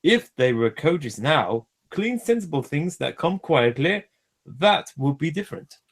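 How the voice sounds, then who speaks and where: Pompous male steam engine, acted by a man reading aloud, storybook, loud and swinging in pitch, self-important grumbler. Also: sing-song, unhurried, full character voice. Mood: cross, grumbling. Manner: boastful, loudly complaining.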